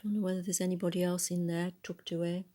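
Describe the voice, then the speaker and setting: A woman reading a picture book aloud in a soft, breathy voice, adding musing, conversational asides about the illustrations. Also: on the low side, flat delivery, fast talker. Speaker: storybook reader.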